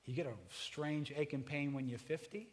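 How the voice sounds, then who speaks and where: A man in his forties preaching a sermon, conversational and joking with the congregation, swinging between hushed and loud passages. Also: a little high, moderate pace. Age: 40s. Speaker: pastor. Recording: reverberant hall.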